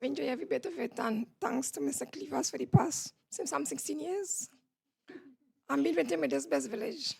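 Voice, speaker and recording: A woman's voice: sits high, very animated, a little fast, darkish tone. A woman giving casual interview answers as a stage performer, over a noisy recording. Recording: clearly noisy event microphone pickup.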